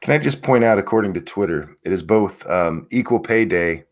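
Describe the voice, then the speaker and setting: A man's voice: low-pitched, lively intonation, a little fast. A man joining a casual stream chat with dry, wry humor.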